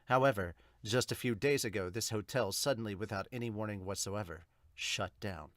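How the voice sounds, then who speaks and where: A man's voice: lowish voice, a little fast, fairly bright tone, no echo, pitched below the performer's own voice, lightly acted. A man voicing a stern, composed young academy headmaster, a woman, in a formal and commanding manner for a manga dub. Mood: grave.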